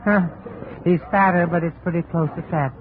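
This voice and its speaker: Higher-pitched wry fugitive acted by a man. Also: fairly even intonation, normal speed, close to an everyday voice. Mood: wary, puzzled. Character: evasive male fugitive, wry and resigned. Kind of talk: 1950s radio drama acting. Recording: faintly noisy, tinny old radio recording.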